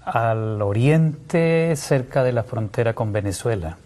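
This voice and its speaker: A man's voice: medium pitch, expressive, takes it slowly, warm tone. A man with a Colombian accent from Santander, speaking as a guest doctor and explaining things in an interview. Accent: Colombian Spanish, Santander.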